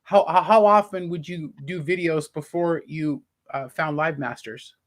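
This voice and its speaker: A man's voice: fairly high pitch, unhurried. A man with a casual, chatty style, acting as an interviewer. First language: English.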